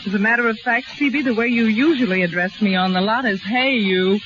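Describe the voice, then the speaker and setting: A woman's voice: fairly high pitch, fairly quick, very noisy. Woman, 1930s radio guest, scripted storytelling with dry quips, dull lo-fi recording.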